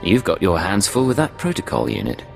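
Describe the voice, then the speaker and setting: Terse, gravelly secret agent. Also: male voice, lowish voice, very animated, a little fast. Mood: smooth, probing. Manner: curt, cool. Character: hard, terse male imperial secret agent. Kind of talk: video game voice acting. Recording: long reverb, music behind.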